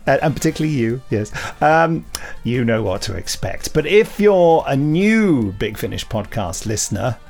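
A man's voice: medium pitch, very animated, normal speed, few pauses, darkish tone. A man co-hosting a podcast in a chatty, wry, self-deprecating and rambling way. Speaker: podcast co-host. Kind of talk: chatty, semi-scripted podcast presenting.

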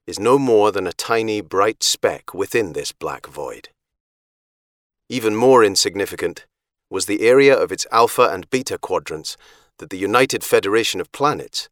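A man giving a measured, solemn scripted audiobook narration. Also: deep voice, no room sound, studio quality.

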